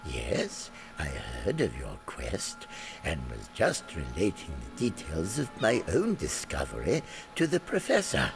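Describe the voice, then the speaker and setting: An actor playing a grave, learned explorer of ancient lore in a video game, speaking in a measured, solemn storytelling manner. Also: male voice, extremely low pitch, wildly swooping pitch, vocal fry, exaggerated voice. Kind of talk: video game character acting. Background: music.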